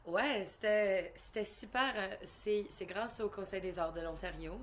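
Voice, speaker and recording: A woman's voice: medium pitch, a little slow. Canadian french singer woman, interview chat, lots of um and restarts, bit of english mixed in, light background noise. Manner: hesitant, many fillers and restarts; slips English words into French. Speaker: singer-songwriter. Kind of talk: casual interview answers.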